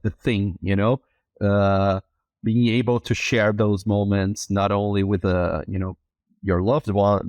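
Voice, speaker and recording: A man from southern Brazil with a lowish voice hosts a podcast interview in English, his second language. He gropes for words and restarts often, and the close mic leaves the sound dry. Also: a little mellow, dry recording. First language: Portuguese. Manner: halting, many restarts and fillers. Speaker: podcast host. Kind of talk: casual interview chat.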